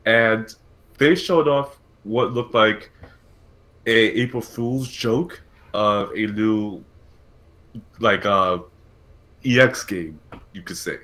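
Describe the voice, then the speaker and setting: A man's voice: lowish voice, takes it slowly, pauses often, bright, forceful tone. A man hosting a casual gaming talk show, thinking aloud and correcting himself in a conversational way. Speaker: podcast host.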